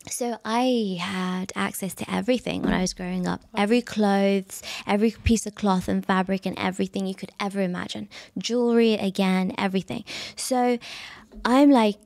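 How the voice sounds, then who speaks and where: Soft-voiced woman with gravelly fry. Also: mid-range voice, dry recording. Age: 30s. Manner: animated storyteller, frequent fillers and laughs. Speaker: guest. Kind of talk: casual interview chat.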